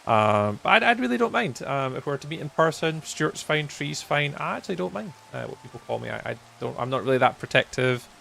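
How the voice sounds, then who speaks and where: A man's voice: on the high side, sing-song, brisk, creaky, few pauses, pushed and bright, a little hiss or hum. A Scottish man in his forties chatting casually and good-humouredly with viewers while he plays a game. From Scotland. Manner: rambling and good-humoured, with frequent ums and chuckles. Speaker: gaming livestreamer. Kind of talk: casual chat with live viewers while gaming.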